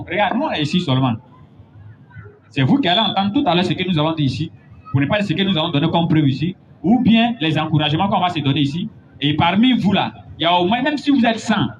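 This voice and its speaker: A man preaching a sermon, building to emphatic, drawn-out points. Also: sits high. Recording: dull lo-fi recording with faint noise.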